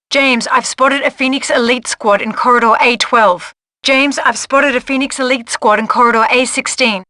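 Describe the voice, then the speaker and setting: A woman playing a watchful intelligence agent guiding a spy in a video game with crisp, cautioning briefings. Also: on the high side, bright, forceful tone, dry recording. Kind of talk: video game mission guidance.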